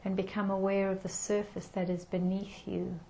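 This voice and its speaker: A woman leading a guided meditation in a gentle, soothing manner with unhurried pauses. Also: medium pitch, level tone, creaky, a little hiss or hum, low-quality recording.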